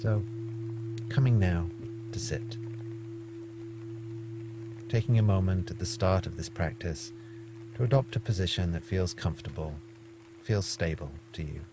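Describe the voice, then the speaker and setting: A man leading a guided mindfulness meditation, giving gentle, measured instructions with frequent pauses. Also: deep voice, music in the background.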